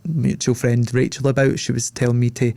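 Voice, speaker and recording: A man's voice: medium pitch, completely flat delivery, a little fast, creaky, mellow, dry recording. A young man with a Scottish accent hosting a podcast, chatting in a loose, rambling way full of fillers. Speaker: podcast host. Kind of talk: casual interview chat.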